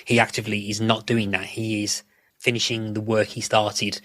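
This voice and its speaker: Male podcast host, fairly low pitch, dry recording, casual rambling delivery with self-corrections. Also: flat delivery, normal speed, a bit bright, dry recording. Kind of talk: casual episode discussion.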